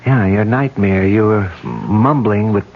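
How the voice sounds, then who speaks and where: A gentle, dogged detective husband. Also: male voice, on the low side, a little slow, gravelly. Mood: coaxing. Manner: gentle, coaxing and soothing. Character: devoted detective husband, patient and persistent. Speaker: actor. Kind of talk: 1970s radio drama acting. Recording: dull old radio broadcast.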